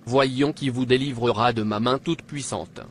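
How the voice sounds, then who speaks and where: Casting: male actor as haughty cartoon monarch, commanding delivery, medium pitch, some background noise. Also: fairly even intonation, normal speed, lightly acted. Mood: haughty, threatening. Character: haughty, threatening pagan king, later fearful and pleading. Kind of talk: dubbed animated religious drama.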